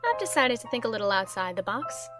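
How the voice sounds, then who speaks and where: A firm, authoritative female detective, acted by a woman for an audio drama. Her voice is slightly high, with a bright, forceful edge. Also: sing-song, fast talker, soft background music. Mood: gentle. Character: firm, commanding female homicide investigator. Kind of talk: amateur audio drama acting.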